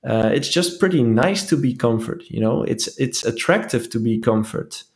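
A man's voice: mid-range voice, lively intonation, moderate pace. A man talking earnestly in a casual podcast chat, often restarting and repeating phrases. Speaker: podcast co-host.

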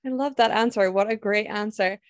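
A woman's voice: medium pitch, a little fast, no echo, clean studio sound. A woman interviewing a guest, encouraging her and asking open questions. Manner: encouraging, affirming.

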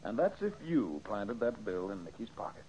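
An actor playing a brisk young amateur detective and host in an old-time radio mystery, methodical and politely exasperated. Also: male voice, lowish voice, big pitch swings, warm tone. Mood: accusing. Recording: dull, hissy old radio recording.

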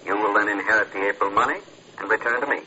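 A man's voice: on the low side, fast, sharp tone, muffled recording. A man acting a scheming, businesslike crook in an old radio drama, speaking formally and exactly. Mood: coolly businesslike. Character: scheming, businesslike small-time male crook. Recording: noisy, thin old radio recording.

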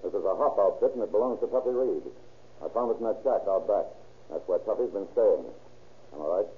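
A man's voice: on the low side, a little fast, natural voice. A man playing a terse, skeptical police lieutenant with a dry, commanding manner in an old radio crime drama. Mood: stern. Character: hard-boiled, skeptical male police lieutenant. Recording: tinny, noisy old radio recording.

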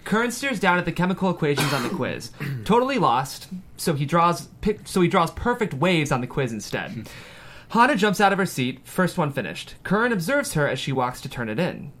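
Animated man reading stage directions. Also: a little high, moderate pace, slight background noise. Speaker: screenplay narrator. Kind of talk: reading script stage directions aloud at a table read.

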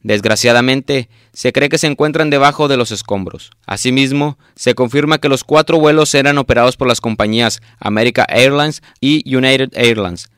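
A man narrating a scripted radio drama in a solemn, measured announcing manner. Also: mid-range voice, fairly bright tone, smooth, dry recording, clean studio sound.

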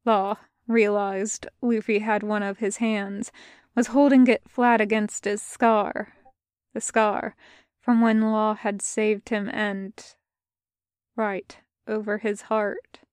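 A young woman reading fan fiction aloud in a soft, measured narration. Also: medium pitch, flat delivery, clean tone, dry recording, clean studio sound. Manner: soft, measured, hushed in tender passages. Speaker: podfic reader.

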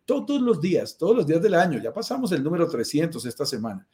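A man's voice: a little high, sing-song, quick pace, warm tone. A man with a Colombian accent who presents a conversational live show in a courteous, explanatory manner. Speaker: host and commercial director of a real estate investment business.

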